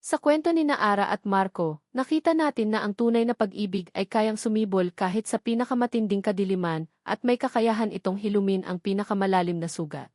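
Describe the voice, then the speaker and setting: A woman narrating a scripted love story as a storyteller, with a measured, earnest, sentimental delivery. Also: mid-range voice, a little mellow, no room sound, clean studio sound.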